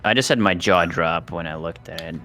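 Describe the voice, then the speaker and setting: A man chats as a player in a tabletop roleplaying game. His voice is deep in pitch yet bright and forceful, with faint music underneath. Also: fairly quick. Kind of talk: casual chat.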